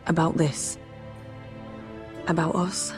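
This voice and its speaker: An actress playing a tender, anxious young noblewoman in a video game drama, speaking softly and hesitantly. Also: sits low, little pitch movement, slow pace. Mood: tender, uncertain. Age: young adult. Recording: music behind the voice.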